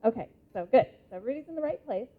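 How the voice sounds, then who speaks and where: Motivational speaker, female, 30s, American, slightly high pitch, deliberate and emphatic, muffled phone-like hall sound. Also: animated. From Idaho, United States. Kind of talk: interactive motivational talk to a live audience. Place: conference hall. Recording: muffled lo-fi live hall recording.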